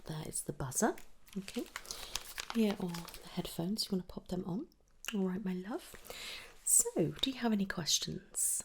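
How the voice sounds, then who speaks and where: A British woman performing an ASMR medical roleplay as an audiologist, speaking softly and often whispering in a gentle, reassuring manner, close to the microphone. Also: medium pitch, expressive, moderate pace, slight background noise. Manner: gentle, reassuring, attentive, addressing the listener directly.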